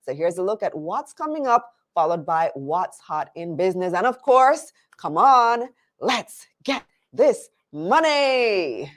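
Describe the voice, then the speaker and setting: A woman's voice: mid-range voice, sing-song, slow. A woman hosting a live business news show, sounding stuffy while recovering from illness, talking to viewers in an upbeat, chatty way.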